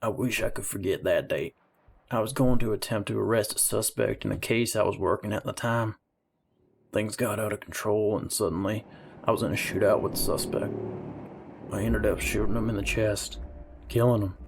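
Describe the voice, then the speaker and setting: A man's voice: on the low side, moderate pace, vocal fry, noisy background. A man playing a grim, hard-boiled police detective who narrates his story in an amateur audio drama. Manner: somber first-person storytelling.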